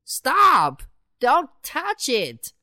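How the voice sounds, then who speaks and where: A woman voicing a boastful, worldly city mouse in a children's storybook reading, with a slow, bouncy delivery. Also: huge pitch swings, bright, forceful tone, no room sound, clean studio sound, exaggerated voice. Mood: eager. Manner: slow, bouncy storybook voice with big pitch swings.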